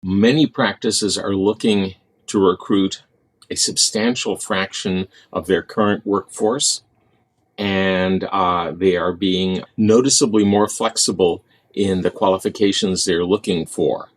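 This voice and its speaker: A man giving interview commentary as a medical expert, speaking in measured, formal wording. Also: low voice, fairly even intonation. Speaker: physician interviewee.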